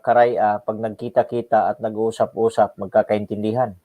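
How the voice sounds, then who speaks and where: A man's voice: lowish voice, little pitch movement, brisk, narrow, tinny audio. A man hosting a radio talk show, probing his guest with short questions. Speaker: radio host. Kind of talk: radio interview.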